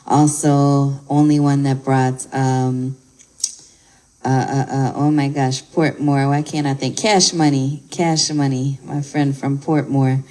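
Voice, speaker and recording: Low, dark-voiced Chicago woman DJ. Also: rough recording. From United States. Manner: shout-outs, rambling with fillers. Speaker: DJ and promoter hosting the talk. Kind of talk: casual interview chat.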